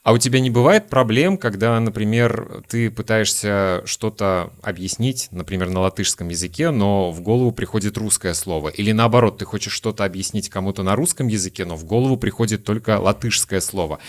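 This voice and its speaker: Man from Lithuania, native Lithuanian, podcast host, low voice, long thoughtful questions. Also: fairly quick, few pauses. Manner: asks long, searching questions and shares his own stories. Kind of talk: relaxed conversational interview.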